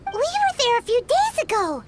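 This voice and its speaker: A woman voicing an excitable, innocent little girl in a video game, speaking in a childlike, earnest, exclamatory way. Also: very high-pitched, normal speed, heavy background noise, exaggerated voice. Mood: curious, eager. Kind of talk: animated game voice acting.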